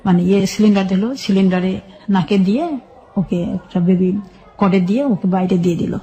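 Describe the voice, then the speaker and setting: A Bangladeshi woman telling her life story as an interview guest, recounting it in halting detail and repeating phrases as she goes. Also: medium pitch, mellow, muffled recording, some chatter behind.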